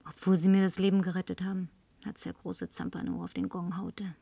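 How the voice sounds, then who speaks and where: An actress in a German radio play playing a flirtatious, tipsy, insistent career woman with coquettish, languid phrasing and breathy murmurs. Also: on the low side, level tone, brisk, dark tone, no room sound. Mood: confiding.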